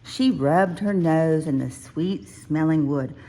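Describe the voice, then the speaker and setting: A woman reads a children's storybook aloud. Her pace is slow and deliberate, and her voice sits a little low. She phrases the narration expressively. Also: mellow, poor audio. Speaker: children's book reader.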